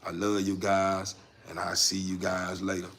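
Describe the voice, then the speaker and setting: Low male voice, American accent, little pitch movement, casual livestream rambling, folksy catchphrases, noisy ambient background. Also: monotone, normal speed, fairly bright tone. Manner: folksy, repetitive catchphrases, encouraging. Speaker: livestream host of a dog breeder channel.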